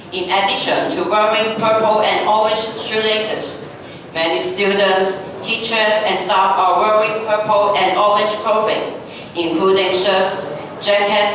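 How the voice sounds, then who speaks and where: A woman's voice: mid-range voice, fairly bright tone. A woman reading scripted narration for a readers' theatre story. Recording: very echoey room, distant mic, noisy.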